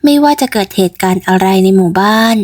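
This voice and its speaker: A woman's voice: mid-range voice, fast, a little mellow. A woman telling a bedtime folk story as scripted narration.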